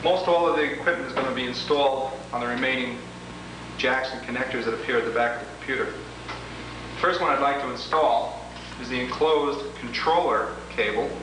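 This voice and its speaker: A man giving an instructional product demo, explaining each step in a deliberate, methodical way. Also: mid-range voice. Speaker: product demonstrator. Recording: roomy, echoing, noisy 1980s demo tape, distant mic.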